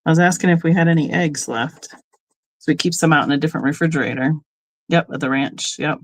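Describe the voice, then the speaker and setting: A woman's voice: sits low, fairly even intonation, fast talker. A middle-aged woman from Colorado hosting a live online sale, chatting casually and rambling into asides with frequent ums. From Colorado, United States. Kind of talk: casual live-stream selling chat.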